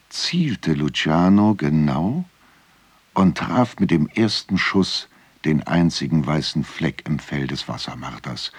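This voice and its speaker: An actor playing a grave storyteller, narrating a dark folk legend with measured delivery in a radio drama. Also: male voice, extremely low pitch, breathy edge, no room sound, exaggerated voice.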